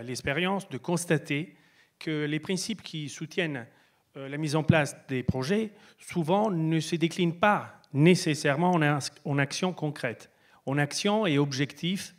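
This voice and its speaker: A man from Italy, giving a prepared stage talk in French, pausing often on fillers. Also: a little high. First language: Italian. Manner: hesitant, many filler pauses. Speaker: pitch presenter.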